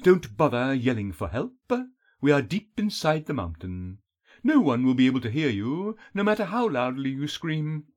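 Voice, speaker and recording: A sly elf villain from a fantasy storybook, acted out by a male narrator. His voice is honeyed and throaty, and it swings widely in pitch as he draws out his words in a mocking purr. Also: very animated, a little mellow. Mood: menacing, smug. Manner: mocking, drawn-out, demure purr. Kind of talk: audiobook character voice.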